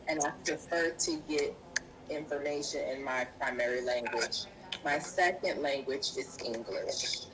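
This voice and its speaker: A woman interpreting sign language into speech for a deaf guest, in measured, halting phrases. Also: low-pitched. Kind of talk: live spoken interpretation in an interview. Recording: noisy field recording.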